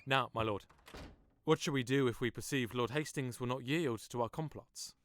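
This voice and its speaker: An actor playing a commanding, conspiring nobleman in Shakespearean audio drama, with deliberate, persuasive formal verse. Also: medium pitch, a bit bright, close to an everyday voice. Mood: brisk, plotting.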